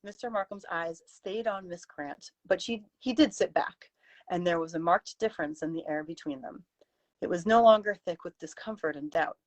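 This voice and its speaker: A woman's voice: lowish voice, moderate pace, rough recording. A woman author on a livestream, reading her story and chatting about it casually. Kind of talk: story narration and casual chat.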